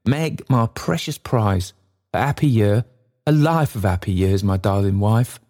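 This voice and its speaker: A man's voice: lowish voice, animated, a little slow, darkish tone. A man voicing a generous, jolly young bridegroom with a hearty, boisterous manner.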